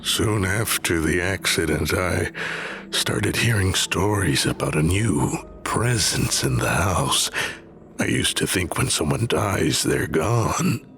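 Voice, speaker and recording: Gruff older man, very low gravelly voice, slow and mournful, audio drama with music underneath. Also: animated, a bit bright, a little hiss or hum, full character voice. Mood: somber, haunted. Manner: slow, somber, deliberate. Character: grieving older man, gruff, weary haunted-house owner. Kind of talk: scripted audio drama acting.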